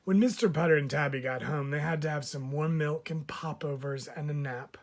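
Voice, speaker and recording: A man's voice: a little high, moderate pace. A man reading a children's story aloud like a teacher, drawing out phrase endings for emphasis.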